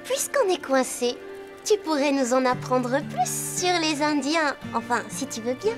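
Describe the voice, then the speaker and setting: A woman playing an eager, caring teenage girl horse rider in a French animated series. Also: high voice, measured pace. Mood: curious. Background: music.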